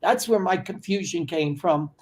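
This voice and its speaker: A man's voice: high-pitched, normal speed, darkish tone. A man in his seventies speaks as a podcast host. He chats casually over a video call from his home study and tends to repeat details back to confirm them. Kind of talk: casual interview chat.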